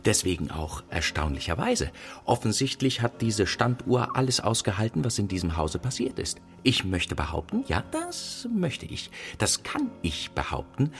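An actor playing a polite, fussy and easily flustered gentleman inventor who rambles formally in a children's radio play. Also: low-pitched, heavily acted. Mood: pedantic.

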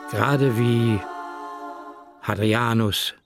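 An actor playing a solemn ancient philosopher, declaiming stoic maxims in a radio play. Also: male voice, mid-range voice, steady tone, vocal fry, faint music behind, heavily acted. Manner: declamatory, slow.

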